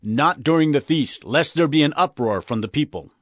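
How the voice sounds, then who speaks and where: A man's voice: on the high side, expressive, moderate pace, no echo, clean studio sound. An actor playing scheming male chief priests who speak in a cautious, plotting way. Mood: wary.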